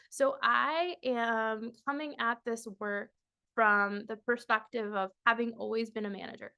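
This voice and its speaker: A woman whose first language is Spanish gives a measured webinar presentation over a video call, her pitch falling at the ends of phrases. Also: sharp tone. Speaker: workshop presenter and consultant.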